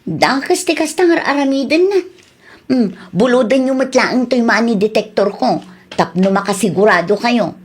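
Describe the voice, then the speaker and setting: A woman's voice: on the high side, animated, moderate pace, slightly rough. A woman playing a sensible, helpful niece in a radio drama, speaking in an earnest, advising way. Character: helpful, sensible adult niece giving advice. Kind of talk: Ilocano comedy radio drama acting.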